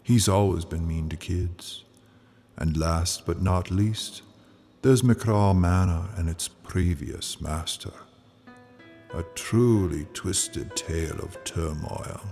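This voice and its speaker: A man's voice: sits low, slow pace, darkish tone. A man playing a sly, ominous narrator of an old-time radio mystery, teasing the listener theatrically. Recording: music under the voice. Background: spooky music.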